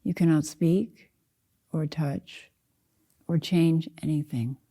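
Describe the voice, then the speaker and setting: An older woman leading a guided meditation as a Zen teacher, speaking in a calm, unhurried, measured way with spaced-out phrases. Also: deep voice.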